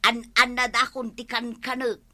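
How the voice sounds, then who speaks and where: Blunt, excitable village matron: very sharp, high, exclamatory, Ilocano accent, radio comedy. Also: female voice, unhurried. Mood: scolding.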